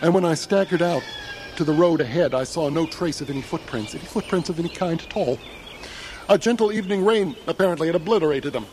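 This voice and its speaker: Casting: male actor as haunted, horrified traveler, slightly high voice, solemn literary storytelling for horror radio play; noisy ambience behind. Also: fast, darkish tone, close to an everyday voice. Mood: subdued, grave. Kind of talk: dramatic first-person horror narration, audio theatre.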